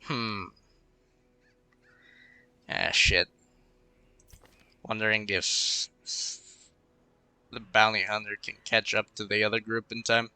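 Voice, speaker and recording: A young man in a casual gaming commentary, rambling and musing half to himself as he plays. His voice is very bright and clean, though a touch congested. The cheap desk mic sounds dry and close. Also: fairly low pitch, flat delivery, takes it slowly, pauses often, clean tone, no echo. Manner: rambling, muttering to himself while thinking. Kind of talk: casual let's-play commentary. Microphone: cheap desk microphone.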